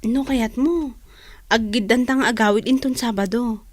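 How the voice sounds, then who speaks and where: A woman's voice: a little high, a little slow, no echo, studio quality. A woman acting a caring, generous young student and loyal friend in a radio drama. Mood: friendly.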